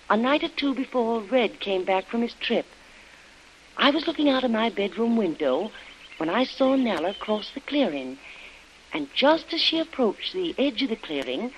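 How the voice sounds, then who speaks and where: A woman's voice: mid-range voice, natural voice. A woman playing an anxious young wife who earnestly recounts events in a vintage radio drama. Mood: earnest recollection. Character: Helen, anxious young wife recalling her ordeal. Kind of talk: 1940s radio drama acting. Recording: muffled, noisy old radio recording.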